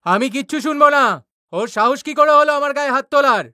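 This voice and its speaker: A woman voicing a violent male thug who threatens and shouts, with a Bengali accent. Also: sits high, brisk, no room sound, clean studio sound. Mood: threatening.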